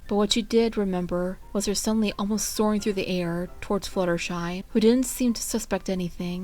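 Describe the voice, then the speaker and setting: A woman's voice: medium pitch, darkish tone. A woman reading fan fiction aloud in a storytelling narration style.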